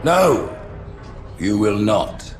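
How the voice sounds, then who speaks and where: Stern male alien hierarch for a game: low, grandiose, formal, theatrical, over loud score. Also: very animated, drawn-out words, loud music behind the voice, full character voice. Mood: forceful. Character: stern, condemning male alien high priest leader. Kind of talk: theatrical video game acting.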